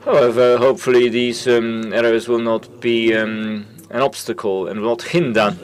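A man giving a simultaneous interpretation of conference talks, halting and full of filler sounds and restarts. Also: medium pitch, level tone.

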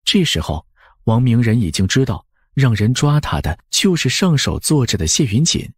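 A man with a husky voice reading a dramatized scripted story as an audiobook narrator. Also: mid-range voice, big pitch swings, moderate pace, no room sound, clean studio sound.

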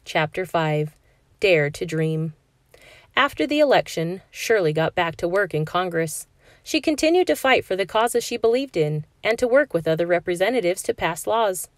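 A woman narrating a children's picture book aloud in a clear, measured storytelling manner for a classroom. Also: on the low side, lively intonation, no echo, clean studio sound.

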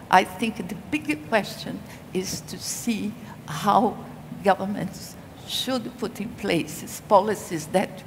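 An older woman speaks at an unhurried pace on a conference stage, leaning on her main points. Her headset mic catches the long reverb of a large hall, with a faint hum of ambience underneath. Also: mid-range voice. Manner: measured, deliberate, emphatic on key points. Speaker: panel speaker. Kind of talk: conference panel talk.

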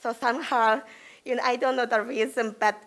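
A woman with a hoarse, lost voice, chairing a conference session in a hall at a table microphone and apologizing as she introduces speakers. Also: a little high, fairly even intonation, fairly quick, a bit bright. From Japan. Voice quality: rough, gravelly. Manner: apologetic, chatty with fillers.

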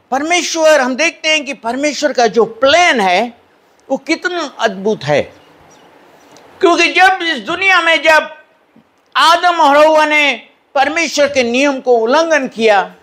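An older preacher from Fiji with a Fiji Hindi accent and a very high voice. He speaks slowly into a handheld mic at the pulpit of a small church hall. He keeps checking that his listeners agree. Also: a bit bright. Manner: asks hearers for assent, reads English lines slowly; lifts an arm to gesture while preaching. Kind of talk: sermon.

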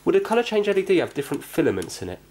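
A man's voice: high-pitched, huge pitch swings, racing, darkish tone, slight background noise. A man hosting a hobby repair video, chatting casually and explaining as he goes, often questioning himself. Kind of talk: casual hands-on repair chat, thinking aloud. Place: indoors at a workbench.

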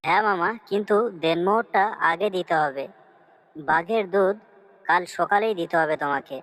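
A woman voicing a cunning fox in a cartoon story, coaxing and flattering as it schemes. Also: fairly low pitch, normal speed, natural voice, low-quality recording. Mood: eager. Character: cunning fox, sweet-talking helpful schemer.

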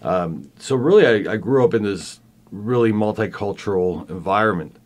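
A man in his fifties from Argentina, raised in Venezuela, with a Venezuelan Spanish accent, talking as a podcast guest in a thoughtful way, with many pauses and restarts. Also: on the low side, expressive, a little mellow. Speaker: guest, professor. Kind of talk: casual podcast interview.

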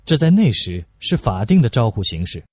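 A man's voice: fairly low pitch, big pitch swings, a little fast, a little mellow, husky, no echo. A man reading a novel aloud as a scripted audiobook narration.

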